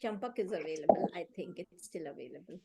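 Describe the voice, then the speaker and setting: A woman's voice: lowish voice, fast, creaky, breathy edge. Emphatic Indian woman teaching on a call. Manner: emphatic, animated, opinionated. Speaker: reading teacher and parenting expert. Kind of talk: live online class, conversational advice. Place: online video call.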